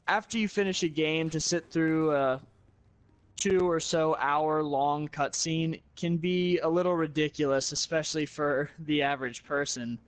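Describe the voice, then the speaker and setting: A man with a voice a little higher than average talks casually about video games. He is opinionated and blunt, stating his views plainly as a guest would. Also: clear, resonant tone.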